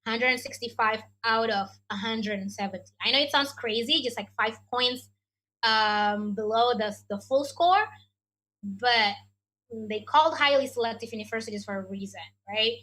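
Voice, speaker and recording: Bright-voiced Indonesian woman adviser. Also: on the high side, normal speed, rough recording. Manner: chatty and explanatory, heavy with "you know" and "like". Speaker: education adviser. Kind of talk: answering questions in an online Q&A. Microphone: video call.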